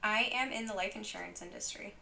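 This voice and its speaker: A woman's voice: mid-range voice, quick pace, creaky, pushed and bright, a little hiss or hum. A woman on a dating panel, giving short, plain answers. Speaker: interview panelist.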